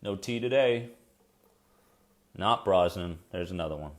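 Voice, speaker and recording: A man with a fairly low, soothing voice hosts a live coaching session. He talks casually and warmly to his viewers, encouraging them and answering their comments as they arrive. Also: measured pace. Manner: chatty and encouraging, addresses viewers directly and reacts to their comments. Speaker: anxiety coach hosting a weekly live stream.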